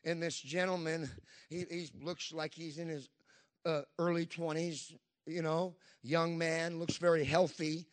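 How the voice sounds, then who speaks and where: A man's voice: a little high, flat delivery, unhurried. A man in his fifties preaching a Pentecostal sermon, emphatic, with frequent call-and-response asides to the congregation. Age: 50s.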